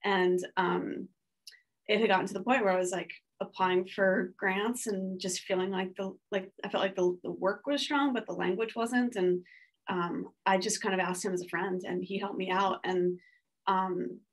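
This is a woman in her forties on a video call, speaking at a middle pitch. Her talk is loose and rambling, packed with fillers and self-corrections. She comes across as a working artist on a panel. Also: fairly even intonation, moderate pace. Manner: rambling, many "like" fillers and restarts. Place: home studio room. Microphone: video call webcam.

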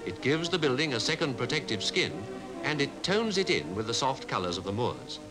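A man with a British Received Pronunciation accent reading scripted 1950s newsreel narration in a formal, measured way. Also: medium pitch, big pitch swings, over music, low-quality recording. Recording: 1950s newsreel archive soundtrack.

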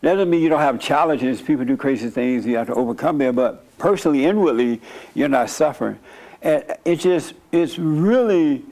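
A middle-aged man leading a church question-and-answer session from a studio set, blunt and preachy, answering in quick plain declarations. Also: on the high side, expressive, darkish tone. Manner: blunt, preachy, quick asides and mock-prayer voices. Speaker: pastor. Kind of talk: sermon-style Q&A with audience. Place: dark studio set, seated by small table.

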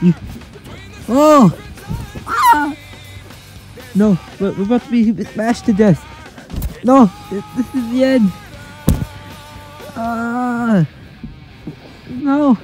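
A man voicing a panicked young plush-toy hero who shouts and yelps. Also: takes it slowly, long pauses, faint music behind, rough recording. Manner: shouting, high-strung yelps.

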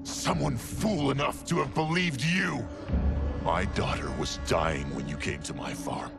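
Brief: grieving farmer, gravelly, animated delivery, bitter and accusing, game cutscene. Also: male voice, mid-range voice, unhurried, loud music behind the voice. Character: grieving, angry male farmer. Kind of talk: video game cutscene acting.

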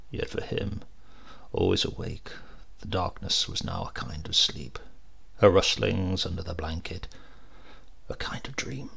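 Very gravelly man reading a story. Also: fairly low pitch, sharp tone. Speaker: story reader. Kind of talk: scripted literary narration of a supernatural tale.

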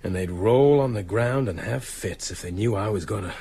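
An actor playing a dry, wry small-town American doctor in a radio drama. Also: male voice, fairly low pitch, moderate pace, mellow, slight background noise, close to an everyday voice. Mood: wry, musing. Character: wry, brisk small-town American doctor, sceptical but open-minded.

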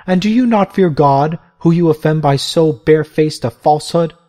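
A man's voice: medium pitch, lively intonation, moderate pace, a little mellow, voice pushed up, natural voice. A man voicing a fierce, defiant old abbess. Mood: indignant. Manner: indignant, scornful.

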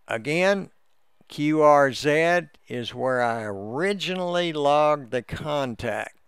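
A man talks through a computer task step by step in a casual, unhurried way. His voice is crisp and resonant with a creaky, gravelly edge, and it sounds close and dry. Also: mid-range voice, a bit bright, dry recording. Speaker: amateur radio hobbyist giving a software tutorial. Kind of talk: casual screen-recorded walkthrough.

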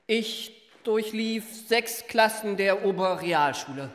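A man's voice: high voice, animated, slow pace, fairly bright tone, heavily acted. An actor playing an eloquent, obsequious young man under questioning in a radio play, stilted and earnest. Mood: earnest, eager. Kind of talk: German radio play acting. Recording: echoey hall, long reverb.

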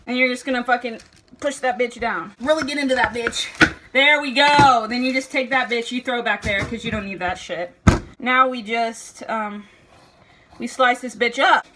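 A woman's voice: fairly high pitch, normal speed, noisy background. A young woman in her home kitchen giving a mock cooking tutorial to a camera in front of her, swearing constantly in a brash, joking way. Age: young adult. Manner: brash, profane, mock-instructional. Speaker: vlogger. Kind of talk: comedic cooking vlog. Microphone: camera in front at table height. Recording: music playing loudly behind.

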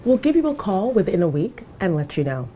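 A woman's voice: medium pitch, sing-song, fairly quick, dark tone, a little hiss or hum, close to an everyday voice. A middle-aged woman playing a poised, courteous bank manager who interviews a job applicant in an office, speaking politely and formally in a scripted dialogue. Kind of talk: scripted dialogue for language learners.

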